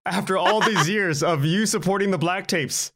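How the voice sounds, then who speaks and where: A man's voice: high-pitched, moderate pace, fairly bright tone. A man, a podcast guest telling anecdotes in a casual, self-deprecating way.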